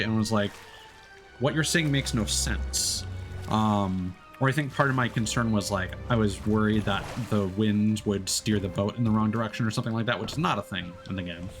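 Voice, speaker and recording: A man with a low voice talks through his gameplay in a loose, unhurried way, pausing on fillers as he explains. Faint game music plays underneath. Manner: relaxed, explanatory, frequent fillers. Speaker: streamer. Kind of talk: casual gameplay commentary.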